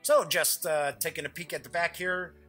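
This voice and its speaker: A middle-aged man with a bright, forward voice pitched a little high hosts an informal live show. He talks in a chatty, joking way and drifts into side remarks, with music present in the recording. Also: steady tone, normal speed. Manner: chatty, jokey, digressive. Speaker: show host. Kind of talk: casual live unboxing chat.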